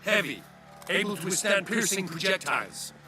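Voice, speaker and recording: An actor playing a commanding military general in a fantasy game, giving clipped, demanding orders. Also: male voice, high voice, very animated, normal speed. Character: curt, commanding army general ordering armour. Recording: game dialogue with music behind.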